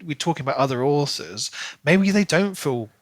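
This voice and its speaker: A man's voice: fairly high pitch, lively intonation, normal speed, dry recording. A man co-hosting a podcast, chatting casually about books and repeating words emphatically as he builds his point. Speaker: podcast co-host. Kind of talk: casual book discussion.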